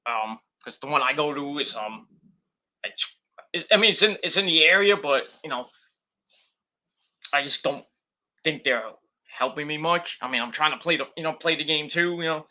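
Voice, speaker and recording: Fortysomething guy rambling at home, very bright voice, bit higher pitch, lots of ums and take-backs. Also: lively intonation, normal speed, long pauses. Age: 40s. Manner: rambling, self-correcting, frequent fillers. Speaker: YouTuber. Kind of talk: rambling casual vlog chat. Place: home, at a desktop computer.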